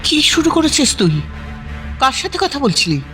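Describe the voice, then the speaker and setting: A woman's voice: sits high, very animated, moderate pace, fairly bright tone, soft background music. An actress playing a worried, fussing middle-aged mother in an audio drama, with a fretful way of talking. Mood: alarmed.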